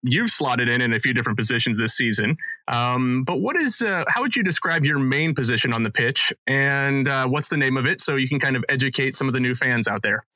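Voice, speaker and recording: A man's voice: medium pitch, lively intonation, a little fast, bright, forceful tone, no room sound, low-quality recording. A man hosting a casual sports podcast, explaining rules like a teacher and chatting with a guest. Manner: friendly, teacherly, with fillers. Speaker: podcast host. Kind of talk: casual podcast interview and rugby lesson.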